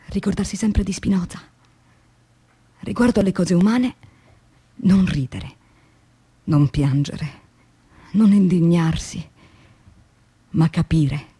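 An actress playing a young, tormented philosophy teacher turned factory worker, in a radio drama monologue with measured, deliberate phrasing and dramatic pauses. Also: female voice, lowish voice, warm tone, exaggerated voice. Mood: grave, stern. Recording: clearly noisy recording with ambient sound.

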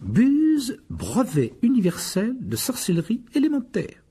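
A man voicing a joking teenage wizard boy in a storybook reading, breezy, with a raspy voice. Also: very high voice, wildly swooping pitch, a little slow, warm tone, pitched above the performer's own voice, full character voice. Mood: explaining.

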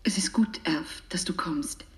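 An actress plays a bitter, determined woman of the underground in a radio play. Her voice is low and breathy, often sinking to a half-whisper. She speaks slowly and deliberately, in an echoing room. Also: lightly acted. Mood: hushed, intimate. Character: resolute, bitter underground activist woman confessing on tape. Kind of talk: radio drama monologue.

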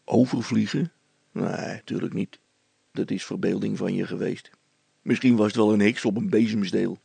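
Man acting a blunt, creaky-voiced grumbling fellow. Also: on the low side, fairly even intonation, normal speed, warm tone, dry recording, full character voice. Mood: dismissive. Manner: blunt, dismissive, colloquial. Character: gruff, bold man scolding a boy.

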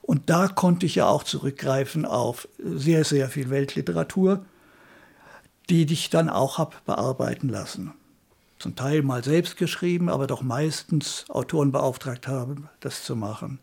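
An older man, a radio drama director, reminiscing conversationally in an interview with a raspy, creaky voice. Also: medium pitch, a little slow, darkish tone.

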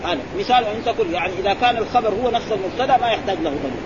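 A man, a sheikh teaching grammar who keeps prompting his students with quick questions. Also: high-pitched. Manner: quizzing, prompting with repeated "eh?" and "ha?". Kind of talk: classroom explanation with questions to students. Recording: muffled recording with crowd and traffic noise.

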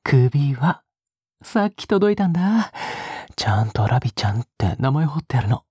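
A woman playing a doting, possessive captor in an intimate audio drama, coaxing and cooing softly with a breathy voice that often drops toward a whisper. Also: very deep voice, very animated, normal speed, dry recording, clean studio sound, full character voice. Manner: coaxing, teasing, cooing as if to a child.

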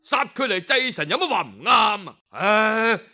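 A man's voice: high voice, very animated, unhurried, bright, forceful tone, shouting, pitched above the performer's own voice, full character voice. A man voicing an arrogant, hot-tempered, cruel king in a storytelling performance, blustering and imperious. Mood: furious.

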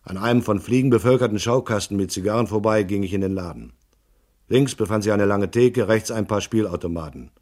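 Guy playing a noir private eye, low voice, deadpan, laconic, radio play narrator. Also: a little fast, close to an everyday voice. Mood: dry, weary. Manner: terse, dry, deadpan. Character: hard-boiled male private detective, laconic and world-weary. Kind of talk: first-person noir radio drama narration with dialogue.

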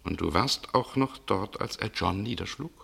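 A male actor playing a shrewd, cool man in a German radio mystery, speaking in a gravelly, deliberate, insinuating way. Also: fairly low pitch, expressive, a bit bright, heavily acted. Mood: sardonic, probing. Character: shrewd, cool man coolly interrogating a murder suspect.

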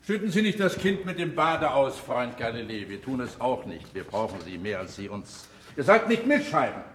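A man's voice: medium pitch, big pitch swings, moderate pace, a little hiss or hum, lightly acted. A man acting an urbane, wily churchman in a radio drama, with a jovial, ironic manner. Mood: condescendingly friendly. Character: urbane, wily high churchman, teasingly friendly.

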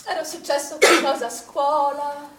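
An actress playing a grieving, troubled middle-aged mother in a stage drama, speaking in halting, emotional repeated phrases. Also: high voice, fairly even intonation, close to an everyday voice. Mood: anguished, halting. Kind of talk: naturalistic stage drama monologue. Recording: roomy, distant stage recording with noise.

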